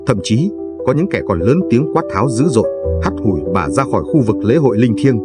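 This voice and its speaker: A man's voice: medium pitch, very animated, brisk, over loud music. A man narrating a folk tale as a scripted story, in a solemn, emotive and dramatic manner.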